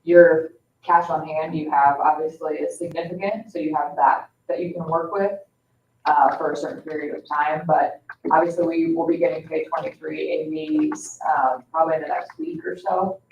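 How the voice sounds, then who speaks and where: A woman's voice: sits low, flat delivery, normal speed, a little mellow. An American woman with a financial adviser's role, explaining things informally at a public meeting. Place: indoor public meeting room. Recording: roomy, distant, muffled, faint room noise.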